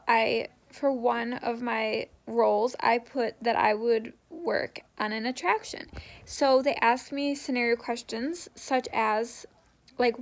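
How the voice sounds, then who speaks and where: A young woman chatting casually to viewers as she shares interview tips, often dropping "like" into her sentences. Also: fairly high pitch. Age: young adult. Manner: conversational, frequent "like" as filler. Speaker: vlogger sharing interview tips.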